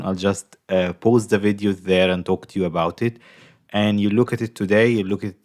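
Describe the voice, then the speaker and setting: A young man from Egypt with an Egyptian accent, casually talking through a mobile game guide in a rambling, chatty way full of fillers. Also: low voice. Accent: Egyptian Arabic. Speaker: gaming YouTuber.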